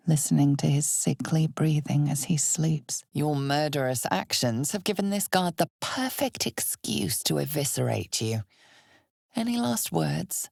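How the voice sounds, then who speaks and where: A woman voicing a solemn, ominous narrator of a dark fantasy video game, with measured, dramatic storytelling. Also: sits low, vocal fry, no echo, clean studio sound, heavily acted. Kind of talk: scripted second-person fantasy game narration.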